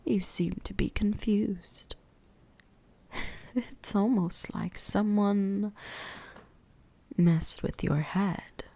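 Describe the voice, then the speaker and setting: A woman voicing a possessive, teasing vampire huntress in an ASMR roleplay, breathy and whispery, with a deliberate, softly menacing delivery. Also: mid-range voice, full character voice.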